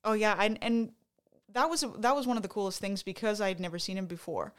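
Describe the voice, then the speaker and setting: A woman from Canada co-hosting a podcast, talking excitedly in casual chat and often interrupting herself. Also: mid-range voice, fairly quick, clean tone. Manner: excited, chatty, self-interrupting. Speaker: podcast co-host.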